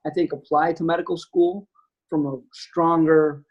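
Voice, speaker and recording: A man's voice: fairly high pitch, level tone, normal speed, darkish tone, breathy edge, muffled recording. A man in his forties, an anesthesiologist from the United States, speaking reflectively with many fillers as a guest on a webinar video call. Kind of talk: webinar talk.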